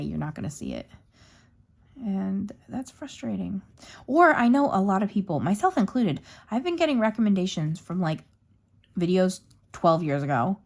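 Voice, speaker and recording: A young woman chatting casually as she colors in a craft vlog, her voice going a bit hoarse. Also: lowish voice, darkish tone. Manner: rambling, chatty, narrates while coloring. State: losing her voice. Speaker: craft YouTuber.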